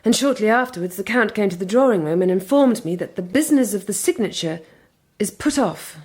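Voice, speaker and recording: A determined Victorian gentlewoman with an English accent. Her voice sits at a medium pitch with a slightly breathy, husky edge. She speaks in articulate, formal sentences, as a protective sister in a radio play. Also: lively intonation, brisk. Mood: earnest, conspiratorial. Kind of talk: radio drama acting with first-person narration.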